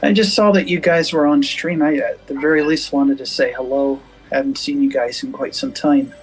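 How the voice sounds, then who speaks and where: A man with a soft, gentle voice, chatting casually over voice chat with a streamer. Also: fairly high pitch, fairly even intonation, normal speed, faint music behind, poor audio. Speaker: guest gamer.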